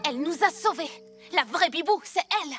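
A woman voicing a plucky young boy superhero in a French cartoon dub, eager and energetic. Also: high voice, fairly quick, over loud music.

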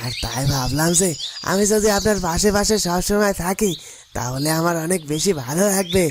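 A lowly, contrite follower in a cartoon melodrama. He speaks in a deferential, pleading way, with clear chirping of birds and crickets around the voice. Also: lively intonation, moderate pace, noisy background. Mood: apologetic, pleading. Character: humble, remorseful male disciple pleading with his master.

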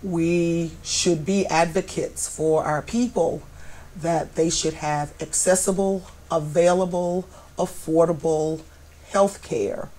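A woman in her sixties testifying with deliberate, emphatic conviction on a lapel mic. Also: lowish voice, fairly even intonation, dark tone, poor audio. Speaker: minister. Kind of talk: unscripted testimonial.

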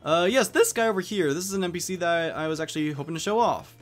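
Man, fairly high voice, casual let's play commentary, jokey, rambling, game music underneath. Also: quick pace, fairly bright tone.

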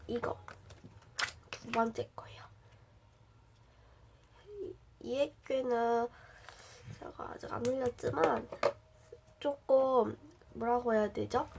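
A young woman with a slight cold showing slime to viewers in a casual chatty vlog, full of fillers and questions to the audience. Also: a little high. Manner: chatty, many fillers, addresses viewers directly. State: has a cold, voice a bit changed. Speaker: slime reviewer. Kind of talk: casual show-and-tell vlog. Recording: noisy home recording.

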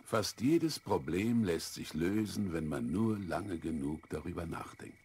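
Wise old philosopher, deep n very husky, patient teacherly radio play voice. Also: male voice, expressive, darkish tone, full character voice. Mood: thoughtful, kindly. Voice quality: husky, gravelly. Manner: measured, thoughtful, didactic. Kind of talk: 1970s German children's radio play acting.

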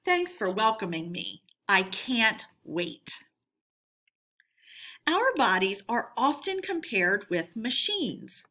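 A woman teacher leads a read-aloud lesson for schoolchildren. She speaks at an unhurried pace with a lively, varied pitch. Her delivery is instructional and addressed straight to the pupils.

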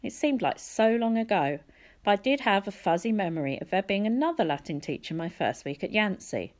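A woman's voice: mid-range voice, sing-song, fairly quick, a little mellow. A woman narrating a first-person story from a book as an audiobook reader.

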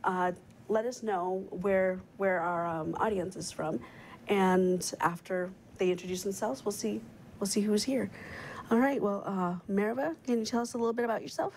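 A woman's voice: medium pitch, steady tone, normal speed, darkish tone, a little hiss or hum, rough recording. A woman in her thirties hosting a live studio webcast panel, chatty with frequent fillers and restarts, on a lapel mic. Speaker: host, program coordinator. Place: TV studio set.

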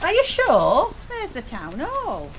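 An actress playing an impatient, blunt, nagging wife on a day out in a radio play. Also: female voice, high voice, huge pitch swings, slow pace, heavily acted. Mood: doubtful. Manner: blunt, complaining.

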